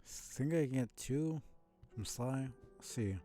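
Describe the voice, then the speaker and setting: A man streaming a video game, giving casual, low-key commentary as he thinks aloud in short fragments. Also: takes it slowly, dark tone, soft background music. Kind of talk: casual muttered gameplay commentary.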